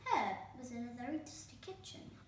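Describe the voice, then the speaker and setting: A woman's voice: fairly high pitch, animated, moderate pace. A woman reading a children's storybook aloud as a storytime host. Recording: very echoey room, distant microphone, faint noise.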